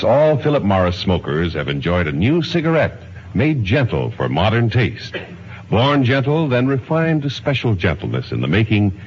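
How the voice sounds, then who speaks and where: A man announcing and reading advertising copy on 1950s radio. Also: lowish voice. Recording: muffled 1950s radio with faint music.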